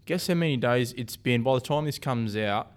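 A man hosting a casual local football podcast, relaxed and rambling, often correcting himself mid-sentence. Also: mid-range voice, moderate pace. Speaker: podcast host. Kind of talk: casual sports podcast chat.

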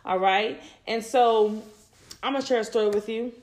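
A woman's voice: fairly high pitch, moderate pace, darkish tone. Thirty-something woman, rambly faith talk, lots of you knows and restarts. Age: 30s. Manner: rambling, repeats words, many "you know"s. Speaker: podcast host. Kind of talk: faith-based personal talk.

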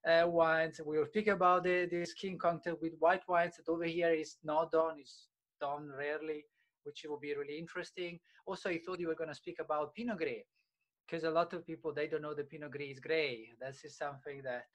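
A man interviewing a guest in a casual chat, talking haltingly with fillers and restarts. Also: a little high, steady tone, fairly bright tone, rough recording.

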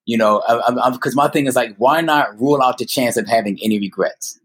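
A man in his fifties telling personal stories as a podcast guest, speaking earnestly and haltingly, repeating words for emphasis. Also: medium pitch, a bit bright, husky. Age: 50s. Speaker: guest storyteller. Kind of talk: personal storytelling in a conversational interview.

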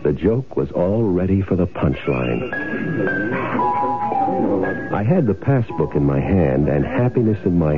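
A low-voiced sly swindler in a 1970s radio drama. He talks in wry, slangy, casual phrases, pleased with his own scheme. The sound is tinny and narrow, like an old broadcast, with music underneath. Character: sly, self-satisfied male swindler telling his own crime story.

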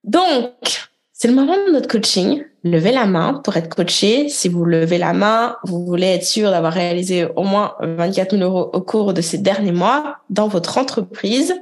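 A woman business coach leading a live group coaching session, talking directly and encouragingly with quick asides. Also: medium pitch, expressive.

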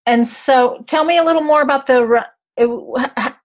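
A woman's voice: sits high, a little fast. A woman interviewer asking questions and encouraging her guests with "right, right.". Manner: encouraging, frequent "right, right" and "mm-hmm".

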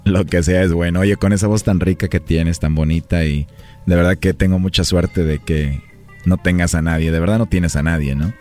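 A man with a Mexican accent, smooth-talking and flirtatious, making a prank call for a radio show. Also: deep voice, fairly even intonation, normal speed, darkish tone, soft background music. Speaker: radio prank caller posing as a suitor. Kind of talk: flirtatious prank phone chat.

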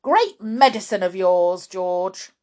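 A woman's voice: mid-range voice, animated, takes it slowly, mellow. A woman voicing a callous, cranky husband in a children's storybook, emphatic and smug. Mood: gloating.

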